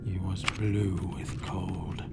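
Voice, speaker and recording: An actor playing a panicked, guilty husband in 1940s radio horror style, melodramatic, stammering and dropping into breathless whispers. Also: male voice, low voice, completely flat delivery, takes it slowly, gravelly, heavily acted. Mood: terrified, haunted. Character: panicked guilty husband confessing his crime. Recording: old 1940s radio broadcast, loud music behind.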